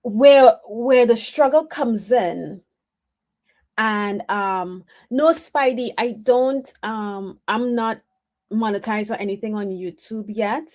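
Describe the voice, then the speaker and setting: A woman giving an intuitive spiritual reading on a live stream, answering her chat in a reflective, halting way with many pauses and "um"s. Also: on the high side, a little mellow. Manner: slow, reflective, halting with frequent "um"s.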